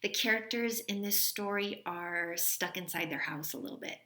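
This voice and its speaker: A woman reading a children's story aloud expressively and deliberately, as a teacher speaking to young listeners. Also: fairly low pitch, pushed and bright.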